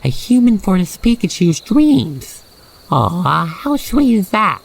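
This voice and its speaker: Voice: mid-range voice, big pitch swings, slow pace, creaky, breathy edge. A narrator guiding the listener through a scripted ASMR roleplay in a soothing, playful storytelling manner. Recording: faint noise with chirping crickets. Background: night insect ambience.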